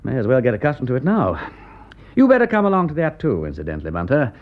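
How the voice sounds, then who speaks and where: An actor playing an aristocratic English gentleman detective in a radio drama, with an upper-class English accent and a witty, breezy, urbane manner. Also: medium pitch, wildly swooping pitch, fast, narrow, tinny audio. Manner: aristocratic, breezy, clipped period phrasing.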